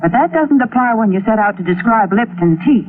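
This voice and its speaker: An actress plays a wholesome sponsor hostess with polished, folksy enthusiasm. The woman's voice is high and moves in animated swings. It has the narrow sound of a 1940s radio commercial. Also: brisk, natural voice. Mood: bright, persuasive. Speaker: commercial announcer. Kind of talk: 1940s radio advertising pitch. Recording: noisy, tinny old radio broadcast.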